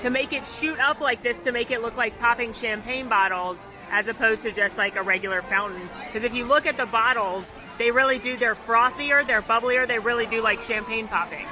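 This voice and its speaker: A woman's voice: fairly high pitch, a little fast, hardly any pauses, rough recording. Bubbly woman vlogging outdoors with music. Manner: bubbly, chatty, playful. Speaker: vlog host. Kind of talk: upbeat theme-park vlog. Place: outdoors at a theme park.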